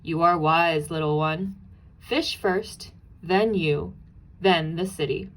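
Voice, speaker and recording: Woman voicing a slow, menacing storybook monster. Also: on the low side, poor audio. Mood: menacing, smug. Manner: slow, threatening, groaning when stuffed. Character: menacing, greedy monster with forked tail and wicked jaws, male. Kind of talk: storybook character voice.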